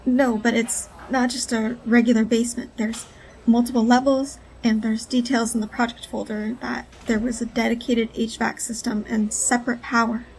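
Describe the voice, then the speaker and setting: A woman's voice: a little high, level tone, normal speed, a little mellow, lightly acted, low-quality recording. An actress playing a wary, resolute female informant who speaks in an understated, matter-of-fact way in an audio drama.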